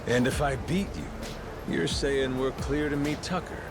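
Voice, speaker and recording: An actor playing a cocky middle-aged Yakuza turned pirate captain, with a brash, slangy drawl. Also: male voice, medium pitch, measured pace, over music, close to an everyday voice.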